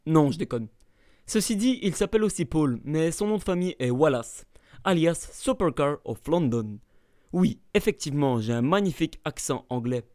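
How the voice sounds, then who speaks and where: Male narrator, slightly high, playful scripted voice-over. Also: warm tone. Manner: playful, mock-dramatic asides. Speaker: narrator of a ranking video.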